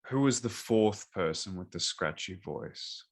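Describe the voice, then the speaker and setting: Slow, deep-voiced man playing a role. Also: animated. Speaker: player. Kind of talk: playing a character in a tabletop game.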